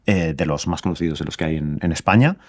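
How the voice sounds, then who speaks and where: A low-pitched man with a Spanish accent from Spain hosts a podcast. He introduces and questions his guests casually, with slightly halting phrasing. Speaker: podcast host.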